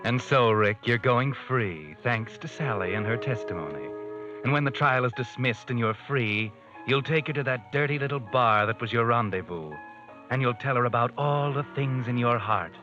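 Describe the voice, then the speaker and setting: An actor playing an ominous, all-knowing radio narrator who taunts the characters in an insinuating 1940s radio style, over music on a muffled old recording. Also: male voice, mid-range voice, lively intonation, normal speed, pushed and bright. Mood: sardonic.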